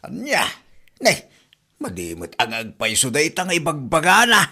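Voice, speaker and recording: Man acting a sharp, animated Ilocano father. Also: a little high, normal speed, full character voice. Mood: admonishing. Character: blunt, advising older father. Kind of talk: Ilocano comedy radio drama acting.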